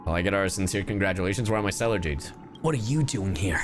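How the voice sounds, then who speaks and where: A man's voice: low voice, expressive, fast talker. A man casually live-commenting on a game as a streamer, reactive and sarcastic, swearing offhandedly. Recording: game music behind voice. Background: video game music and dialogue.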